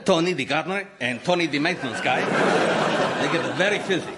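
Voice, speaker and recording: An actor playing a smug house manager in a radio comedy. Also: male voice, fairly high pitch, moderate pace, very noisy, close to an everyday voice. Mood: smooth, matter-of-fact. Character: smug Spanish house manager named Juan.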